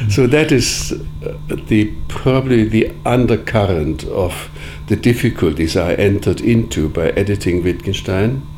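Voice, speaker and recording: A man whose first language is Sorbian, answering interview questions in a measured, deliberate way with frequent pauses. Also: lowish voice, expressive, darkish tone, slight background noise. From Germany. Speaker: interviewee, editor of philosophical writings.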